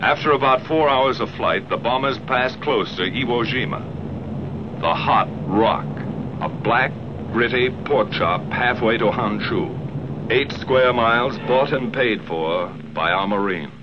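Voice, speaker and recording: A man's voice: mid-range voice, animated, measured pace, background noise. American man narrating a dated 1940s war film. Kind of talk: 1940s military documentary film narration, scripted. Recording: dull 1940s film soundtrack, loud music. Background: loud film score.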